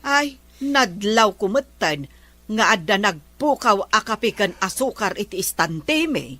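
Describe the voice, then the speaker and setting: A woman's voice: medium pitch, big pitch swings, unhurried, sharp tone, no room sound, heavily acted. A woman acting a gossipy village woman in a comedy radio drama, with a Filipino accent. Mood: gossiping.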